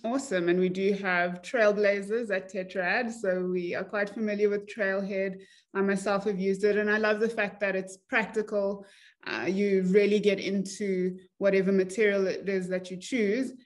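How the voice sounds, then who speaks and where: A woman's voice: medium pitch, flat delivery, normal speed. A young woman hosting a podcast interview over a video call, friendly and enthusiastic as she asks her questions. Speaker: podcast host.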